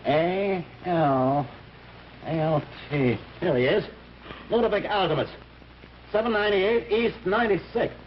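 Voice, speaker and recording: An actor playing a stubborn, excitable investigator in an old radio thriller, with an insistent, emphatic delivery. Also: male voice, high voice, measured pace. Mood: determined. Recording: dull, hissy old radio recording.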